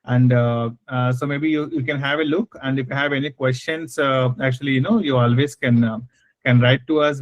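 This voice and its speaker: A man gives a polite webinar address to students in a halting way. His voice is mid-pitched and dry, with slightly dull online call audio. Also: dry recording. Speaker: guest speaker, internship representative.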